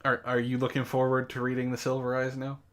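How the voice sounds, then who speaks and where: A man's voice: medium pitch, monotone, fast talker, fairly bright tone. A man hosting a casual comedic book club podcast, bantering in a mock-exasperated way.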